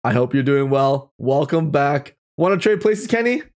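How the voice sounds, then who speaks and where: Animated livestream host with swooping pitch. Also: moderate pace. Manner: playful, exclamatory, repeats phrases for effect. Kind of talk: casual livestream chat to viewers.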